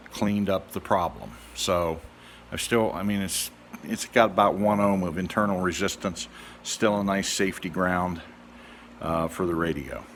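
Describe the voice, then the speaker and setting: Low-voiced man, halting delivery, noisy recording. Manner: halting, hesitant, with trailing pauses. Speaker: hobbyist radio restorer. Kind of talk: casual unscripted demonstration.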